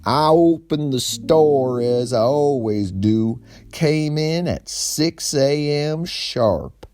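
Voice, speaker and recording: A man voicing a nervous, awkward, tall male store manager, speaking in a raised, drawn-out, hesitant way. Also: a little high, lively intonation, a little mellow, pitched above the performer's own voice. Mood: fretful.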